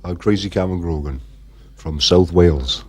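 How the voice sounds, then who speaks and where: A man's voice: low-pitched, normal speed, very noisy. A middle-aged man from Ireland, the band's frontman, talking in a casual interview and rambling with constant "you know" fillers. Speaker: band frontman.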